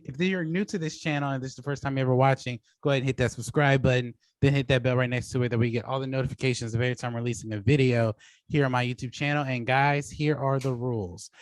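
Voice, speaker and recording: A man's voice: mid-range voice, dry recording. Casual man hosting, close dry sound. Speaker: debate show host and judge. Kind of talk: casual online debate hosting.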